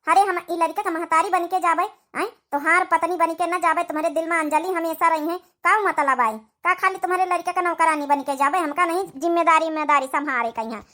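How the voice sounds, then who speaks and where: A woman's voice: very high voice, steady tone, brisk, few pauses, bright, forceful tone. A woman playing a blunt, dutiful young village woman in a cartoon drama, speaking forthrightly with an Awadhi accent. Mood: indignant. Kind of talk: cartoon comedy-drama dialogue in village dialect.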